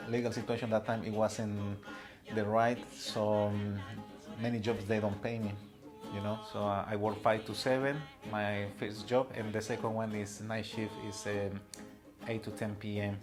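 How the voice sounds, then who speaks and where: A man from Latin America, speaking halting, plain English as a migrant worker in a documentary interview. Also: on the low side.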